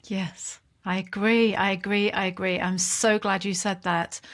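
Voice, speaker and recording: Warm, encouraging woman podcast host. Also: medium pitch, level tone, measured pace. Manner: warm, encouraging, asks questions gently. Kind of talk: casual conversational interview.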